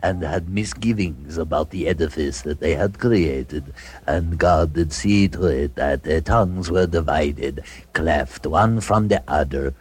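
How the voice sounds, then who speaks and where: A man playing a solemn reader of mock scripture, narrating in a measured, biblical manner. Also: rumbling low, a little mellow, slightly rough, full character voice. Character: solemn scripture reader intoning a tower myth.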